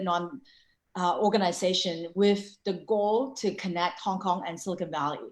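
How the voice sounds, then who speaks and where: A woman hosting a casual online panel over a video call, chatty and full of fillers. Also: medium pitch. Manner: filler-heavy, chatty, frequent restarts. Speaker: webinar host and co-founder. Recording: echoey room.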